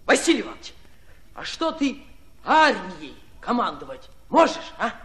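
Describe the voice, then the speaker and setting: An actor playing an eager, naive young soldier orderly, excitable and folksy, in a radio drama. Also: male voice, sits high, normal speed. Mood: curious, awed.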